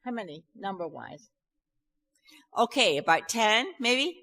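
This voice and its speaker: A nervous, chatty woman with a Scottish accent talks to an audience before her song. Her voice is bright and forward. The hall gives it a long, ringing reverb. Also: medium pitch, animated, measured pace. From Scotland. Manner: nervous, chatty and self-deprecating, with laughs and restarts. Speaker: festival singer introducing a song. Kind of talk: chatty stage talk to an audience. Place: church hall. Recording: live recording in a reverberant hall.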